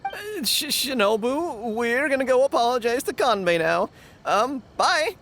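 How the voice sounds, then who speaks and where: An actor playing a cowardly, excitable young gang underling in a video game, nervous and stammering. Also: mid-range voice, a little slow. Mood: panicked. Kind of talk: animated video game voice acting. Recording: music behind the voice. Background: game music.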